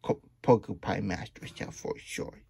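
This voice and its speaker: A man voicing an excitable, childlike hedgehog plush who reads a picture book and adds chatty asides in broken, third-person grammar, husky and breathy. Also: a little slow, natural voice. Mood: amazed, delighted. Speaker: storytime host. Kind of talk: character read-aloud with chatty asides. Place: small room indoors at a table.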